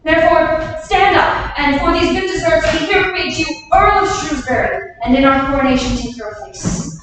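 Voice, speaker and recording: A woman's voice: high-pitched, moderate pace, lightly acted. A woman playing a gracious, peaceable young English king in formal, regal Shakespearean verse at a staged reading. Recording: echoey hall from far off, faint noise.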